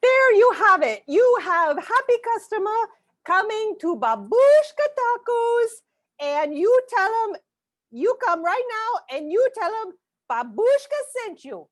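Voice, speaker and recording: An actress doing a brash woman selling tacos in a spoof commercial. Her voice is very high and swings up and down, and she orders customers about at an unhurried pace. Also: big pitch swings, full character voice. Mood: bossy, enthusiastic. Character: brash, bossy Russian immigrant woman pitching her taco stand. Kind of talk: parody TV commercial, improvised sketch.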